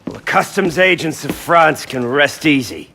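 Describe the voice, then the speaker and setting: Bitter assassin elder statesman, gravelly, forceful, slow, sardonic, game cutscene, faint rumble. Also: expressive, heavily acted. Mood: sardonic, resentful. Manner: sardonic, resentful. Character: embittered, sardonic male assassin council member. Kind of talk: video game cutscene acting.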